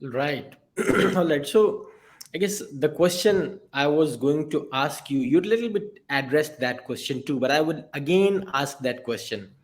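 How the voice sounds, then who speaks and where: Brief: male podcast host, slow and unhurried, halting formal phrasing. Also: a little high, expressive. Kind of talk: hosting an interview.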